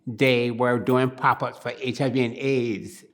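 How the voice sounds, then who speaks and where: Slow-talking older woman. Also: extremely low pitch, flat delivery. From Barbados. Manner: slow, reflective, plain-spoken storytelling. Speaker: interviewee. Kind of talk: oral history interview.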